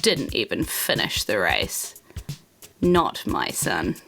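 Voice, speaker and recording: A woman's voice: rumbling low, huge pitch swings, slow, creaky, a bit bright, faint music behind, pitched below the performer's own voice, heavily acted. A woman voicing a stern, dismissive father in a tabletop roleplay game, curt and cold. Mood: cold contempt. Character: stern, contemptuous father scorning his son.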